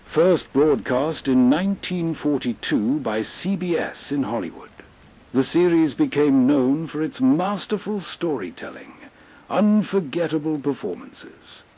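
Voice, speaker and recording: A man's voice: on the high side, a little mellow, poor audio. A man hosting a classic radio program with soothing, atmospheric scripted narration. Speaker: host introducing classic radio plays.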